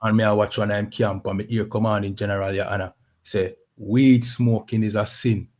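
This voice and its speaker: A man's voice: on the low side, little pitch movement, moderate pace, a little mellow. A man with a Jamaican accent hosting a podcast in a casual talk-show style, often saying "you know what I mean". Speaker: podcast host.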